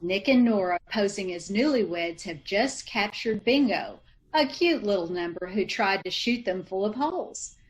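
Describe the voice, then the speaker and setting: A woman announcer reading commercials and story narration in a measured, salesmanlike old-time radio style. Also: medium pitch, expressive, poor audio.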